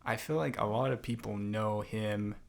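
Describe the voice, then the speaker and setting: A young man as a podcast guest, chatting in a thoughtful, unhurried way with many fillers and restarts. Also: on the low side, fairly even intonation, creaky. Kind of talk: casual interview conversation.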